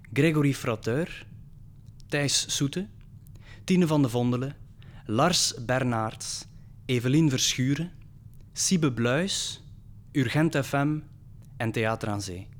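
A man with a Flemish accent reading closing credits as a scripted announcement. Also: medium pitch. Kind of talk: scripted narration.

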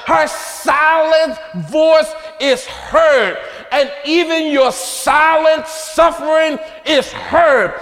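A man preaching a sermon with passion, shouting in rhythmic, repeated phrases. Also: very high voice, very slow. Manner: impassioned, shouting in rhythmic repetitions. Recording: long hall reverb.

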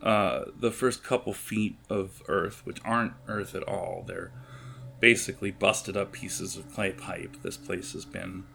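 A low-voiced man runs a tabletop game as game master. He has a gravelly edge and describes scenes at length, halting as he hunts for words. There is some noise behind him. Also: fairly bright tone. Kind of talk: improvised tabletop game narration.

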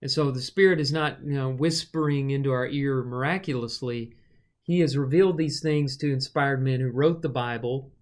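A man's voice: mid-range voice, moderate pace. A man co-hosting a casual Bible discussion, thoughtfully explaining scripture with a touch of self-deprecating humor. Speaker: Bible study co-host.

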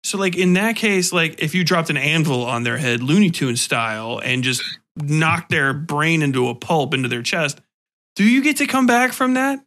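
A man hosting a casual interview, talking in an animated, freely swearing way and acting out little sound effects. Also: on the high side, moderate pace. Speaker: podcast host interviewing a guest.

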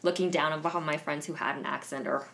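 Low-voiced young woman reflecting. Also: steady tone, fast talker, a bit bright. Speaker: guest. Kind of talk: personal storytelling in a discussion.

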